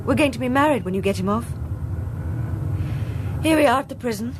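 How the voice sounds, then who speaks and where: An actress plays a young woman solicitor in a radio drama, with a fairly high voice. She is devoted and firm, with brisk, matter-of-fact delivery. Clear vehicle noise runs behind her. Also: animated, lightly acted. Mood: insistent. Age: young adult. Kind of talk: British radio drama acting. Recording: clear background noise with cars.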